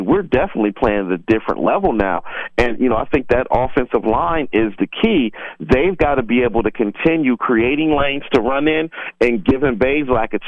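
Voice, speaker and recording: A man's voice: medium pitch, no room sound. A man giving casual, rambling football analysis on a sports show, often rephrasing himself as he goes. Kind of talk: casual conversational sports commentary. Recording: dry, band-limited phone-like line.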